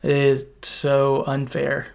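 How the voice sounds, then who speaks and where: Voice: medium pitch, monotone, extremely slow, gravelly, a little mellow. A podcast host chatting casually, retelling a video game's story in loose paraphrase and hedged, informal phrasing.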